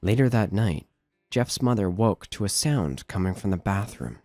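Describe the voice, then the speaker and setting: A man's voice: fairly low pitch, expressive, moderate pace, a little mellow, no echo. A man giving scripted narration of a horror story as the narrator of an audio drama. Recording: music bed under narration.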